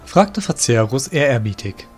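Guy narrating a fantasy audio play, mid pitch, scripted, quiet music under it. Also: big pitch swings, normal speed. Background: soft music.

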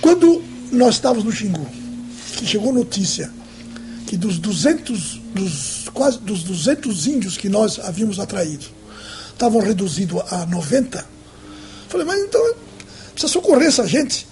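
A man's voice: high voice, big pitch swings, moderate pace, a little mellow, slight background noise. An older man in a documentary interview, recounting past events with restarts, filmed in a home office.

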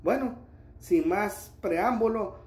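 A man's voice: high voice, slow, noisy background. A man narrating a promotional video channel intro. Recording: echoey voice-over.

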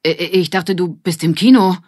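A woman's voice: on the low side, normal speed, darkish tone, husky, no echo, studio quality, voice pushed up. A woman voicing a flustered father in a children's audiobook, hesitant and stammering. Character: girl's easygoing father, flustered adult man. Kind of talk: audiobook character dialogue.

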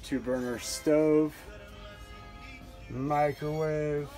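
A man's voice: a little high, extremely slow. A man in his forties casually walking viewers through a sailboat, talking into his handheld phone with plenty of fillers and self-corrections. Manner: relaxed, chatty, with frequent fillers and self-corrections. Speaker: yacht broker. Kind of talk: casual walkthrough tour. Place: aboard a sailboat in a marina, deck and cabin. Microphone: handheld phone camera. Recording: phone recording with music playing nearby.

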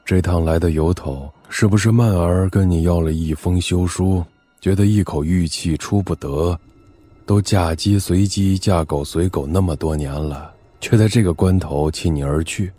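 Husky, weary old statesman father. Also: sits low, moderate pace, no echo. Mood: gentle, regretful. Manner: grave, reflective, gentle. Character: weary, tender aging father, high imperial minister. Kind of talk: audio drama acting. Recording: music under the voice.

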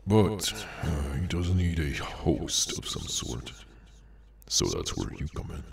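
A man voicing a weary, menacing demonic henchman in an ASMR audio roleplay, sardonic and low-key, with a gravelly voice. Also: big pitch swings, slight background noise, exaggerated voice. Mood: matter-of-fact menace. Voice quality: very deep, gravelly, creaky. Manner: slow, low-key, casually sinister, sardonic.

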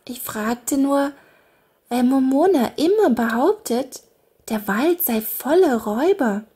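A woman's voice: sits high, animated, takes it slowly, warm tone, breathy edge, voice pushed up, full character voice. A woman voicing a carefree little girl, the daughter of a fairy king, who chatters lightly.

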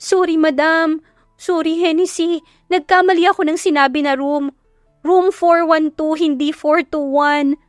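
Woman voicing a high, pleading young best friend. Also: steady tone, measured pace, no echo, studio quality, faint music behind. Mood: remorseful. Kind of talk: acted dialogue in Tagalog romance audio story.

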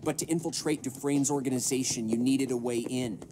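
An actor playing an accusatory American government official, formal and prodding, in a video game. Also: male voice, mid-range voice, fairly even intonation, a little fast, natural voice. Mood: accusing. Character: accusatory male government official, smug and pressing. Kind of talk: cinematic video game acting. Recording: music behind the voice.

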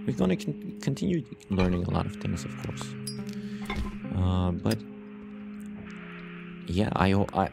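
A man hosting a gaming channel, giving casual let's play commentary in an earnest, rambling way, repeating phrases for emphasis as he addresses viewers directly. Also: low-pitched. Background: music with guitar.

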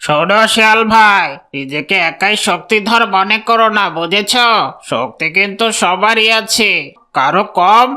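A woman's voice: medium pitch, expressive, unhurried, bright, forceful tone. A woman voicing a plucky, defiant goose who leads a flock in a children's cartoon, speaking in an emphatic, lecturing way. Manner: emphatic, scolding, preachy. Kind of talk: Bengali children's cartoon voice acting.

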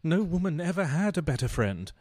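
A man's voice: a little high, big pitch swings, brisk, darkish tone. A man playing a pompous, self-pitying philandering husband in a radio play, speaking floridly. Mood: lovelorn, self-pitying. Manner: earnest, florid, grandiose. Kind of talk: stage-style radio play acting.

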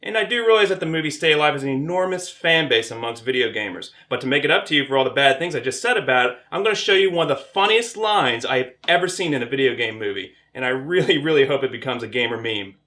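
A man's voice: mid-range voice, animated, fast, few pauses, pushed and bright. A young man delivering a scripted video essay in a wordy, articulate, opinionated way as host and critic. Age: young adult.

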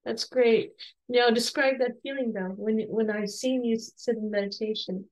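A slightly hoarse woman in her forties asks soft, encouraging questions in a fairly high voice. She talks over a webcam from a home room lined with bookshelves. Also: steady tone, moderate pace, rough recording. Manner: soft, encouraging, with frequent restarts and light laughs. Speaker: podcast host interviewing her son. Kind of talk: gentle conversational interview.

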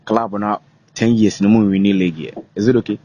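A man's voice: lowish voice, steady tone, brisk, warm tone, muffled recording. A man with a Ghanaian accent asking an interview guest questions in a plain, brief way.

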